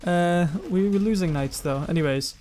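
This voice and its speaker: A young man with a self-described monotone voice, rambling through gameplay commentary and viewer comments, often correcting himself mid-sentence. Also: sits high, normal speed, a little hiss or hum. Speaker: gaming YouTuber.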